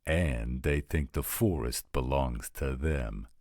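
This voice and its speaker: Male actor as roguish supernatural informant, bottomless bass pitch, blunt slang, dry studio sound, roleplay audio. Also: slow, a little mellow, no room sound. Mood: blunt, matter-of-fact. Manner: slangy, blunt, drawling street talk. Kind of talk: audio roleplay drama acting.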